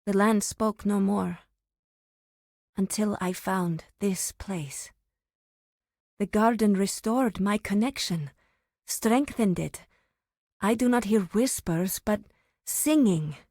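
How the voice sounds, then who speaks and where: A woman playing a reverent, solemn druid of an ancient tribe in a fantasy video game, speaking formally with deliberate pauses. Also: medium pitch, a little mellow, dry recording, clean studio sound. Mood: wistful, earnest.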